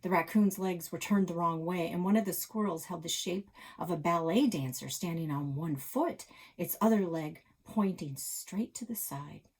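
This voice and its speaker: A woman reading a children's story aloud as a dramatic narrator, expressive and suspenseful. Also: fairly low pitch, moderate pace, few pauses, slightly rough.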